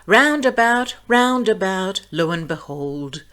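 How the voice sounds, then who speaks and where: A woman's voice: medium pitch, expressive, slow, a bit bright. Woman playing a wily, sing-song little dwarf man. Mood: gleeful singing. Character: wily little dwarf man, bargaining, singing, quick to rage.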